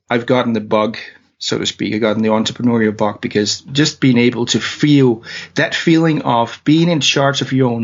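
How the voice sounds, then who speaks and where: A man's voice: medium pitch, gravelly. A man from Denmark with a Danish accent, telling his story as a guest in an interview, hesitant with many fillers and restarts. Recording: dull lo-fi audio.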